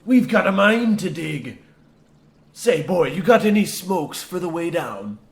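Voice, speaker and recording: A man playing a gruff, scornful veteran coal mine foreman in a comic radio play, with a folksy, menacing delivery. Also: high-pitched, sing-song, unhurried, exaggerated voice. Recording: roomy, noisy mine ambience.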